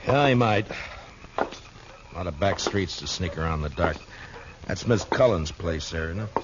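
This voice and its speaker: A man acting a grim, steady American marshal in a radio western, laconic and authoritative. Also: low-pitched, normal speed, heavy background noise. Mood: watchful. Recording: dull vintage 1950s radio recording with music.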